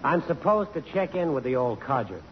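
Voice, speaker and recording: Casting: actor as flippant male news photographer, mid-pitched and animated, sardonic, vintage radio play with dull sound. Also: moderate pace, natural voice. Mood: breezy, teasing. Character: cocky, wisecracking male press photographer, a determined suitor. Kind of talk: 1950s radio drama acting. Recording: muffled, noisy old radio recording.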